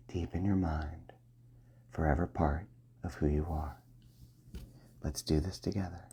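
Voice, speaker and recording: A man with a very low, dark voice leads a slow ASMR hypnosis session. His tone is gravelly and deeply breathy, and he speaks in soft, commanding phrases that often sink to a half-whisper.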